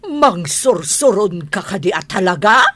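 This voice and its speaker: A woman's voice: mid-range voice, sing-song, measured pace, husky, dry recording, full character voice. A woman with a Filipino Ilocano accent playing a haughty, scolding, fretful mistress of the house in a comedy radio drama. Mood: irritated, scolding. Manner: sharp, scolding, nagging.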